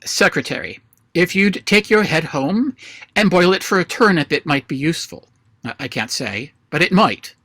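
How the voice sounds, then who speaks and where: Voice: mid-range voice, animated, moderate pace, fairly bright tone, dry recording, studio quality. An actor playing imperious, mocking anarchist leader Sunday. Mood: scornful. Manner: pompous, commanding, drily mocking. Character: Sunday, imperious scornful male president of an anarchist council. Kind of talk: radio play read aloud. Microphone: video call.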